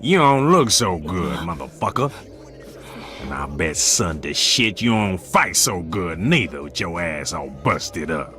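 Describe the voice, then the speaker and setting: Gloating street thug, foul-mouthed, slow drawl, hard-edged tone, video game. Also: male voice, sits low, sing-song, sharp tone, faint music behind, full character voice. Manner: swaggering, sneering, colloquial drawl. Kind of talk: dramatic video game acting.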